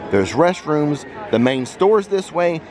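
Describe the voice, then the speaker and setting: A man's voice: on the high side, lively intonation, takes it slowly, a crowd in the background. A man narrating an unscripted walking tour as a vlogger and guide, speaking directly to viewers and pointing out sights. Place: outdoors, walking through a busy theme park. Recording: outdoor ambient noise of busy park.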